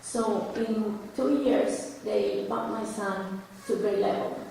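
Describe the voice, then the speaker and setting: A hoarse woman, a parent losing her voice, testifying apologetically but heartfelt at a hearing. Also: mid-range voice, slow pace, dark tone, a little hiss or hum. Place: large hall, indoors. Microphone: public microphone. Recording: echoey hall from far off.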